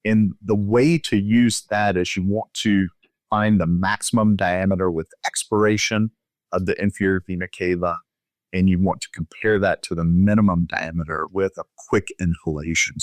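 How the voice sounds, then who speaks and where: A man with a low-pitched voice gives expert medical explanation in an interview. His delivery is measured and instructional, laid out step by step. The sound is dry, with very little room in it. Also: no room sound. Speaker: physician guest expert.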